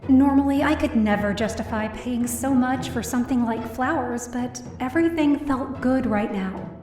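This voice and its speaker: A woman's voice: high voice, normal speed, lightly acted. An actress playing an anxious young woman who narrates her own story in an audio drama, confiding and self-deprecating. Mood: narrating, tense. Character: anxious, downcast young woman, daughter of a hospitalized mother. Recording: roomy, distant-sounding voice over music.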